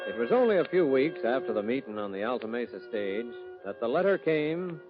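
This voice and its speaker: Dramatic American old-time radio announcer. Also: male voice, medium pitch, sing-song, fairly quick. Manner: dramatic, declamatory. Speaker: announcer and narrator. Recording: muffled old radio recording with music.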